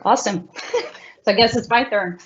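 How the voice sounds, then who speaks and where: A woman's voice: medium pitch, normal speed, a little hiss or hum. Upbeat woman introducing herself on a call. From Netherlands. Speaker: panelist, community organizer. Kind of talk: self-introduction. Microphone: video call. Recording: muffled video-call audio.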